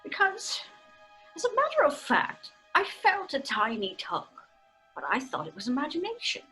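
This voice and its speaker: An actress with a British accent playing a sensible, faintly stern mother with a crisp manner in an old-fashioned radio drama. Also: high voice, wildly swooping pitch, moderate pace, bright, forceful tone, faint music behind, heavily acted, low-quality recording. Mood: questioning. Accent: British English.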